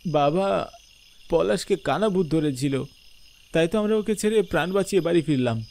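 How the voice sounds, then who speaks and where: A man voicing a puzzled, loyal young man who questions excitably in a horror cartoon. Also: a little high, normal speed, gravelly, pitched above the performer's own voice. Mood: frightened. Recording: faint noise with night insects.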